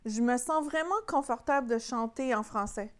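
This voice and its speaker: A woman with a Québécois French accent, speaking as an interview guest in an informal, halting conversational way. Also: fairly high pitch. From Quebec, Canada. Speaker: singer-songwriter, interview guest.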